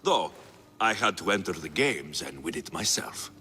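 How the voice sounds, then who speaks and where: Bold, deep-voiced young Spartan warrior. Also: male voice, very animated, normal speed, bright, forceful tone, big-room sound, slight background noise, heavily acted. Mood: accusing. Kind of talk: video game voice acting.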